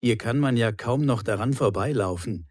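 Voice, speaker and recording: A man playing a particular, reproachful neighbour in a clearly articulated language-lesson dialogue. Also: mid-range voice, moderate pace, a little mellow, no room sound, studio quality, close to an everyday voice. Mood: complaining. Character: grumbling male apartment neighbour, particular and reproachful.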